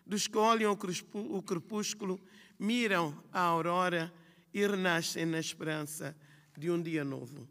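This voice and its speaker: A woman's voice: on the low side, a bit bright. Guinea-Bissau woman reading a speech in a hall. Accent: Guinea-Bissau Portuguese. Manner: measured, reflective, listing phrases in rhythm. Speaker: writer on a festival panel. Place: large hall at a literary festival. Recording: long hall reverb.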